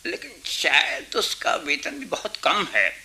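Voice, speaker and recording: Sharp-voiced, irritable Indian father. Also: a little high, huge pitch swings, measured pace, creaky, full character voice. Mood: grudging, evasive. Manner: defensive, grumbling, snappish. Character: evasive, irritable middle-aged Indian father and husband. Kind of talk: radio drama acting.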